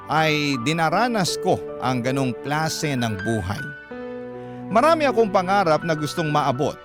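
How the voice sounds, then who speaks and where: A male host narrating a radio-style drama in first person, steady and solemn. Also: fairly high pitch. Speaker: storyteller host. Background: loud music.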